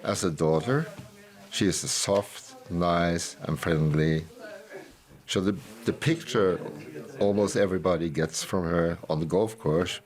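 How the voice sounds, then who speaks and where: Older father with a very deep voice. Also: slow pace. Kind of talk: documentary interview.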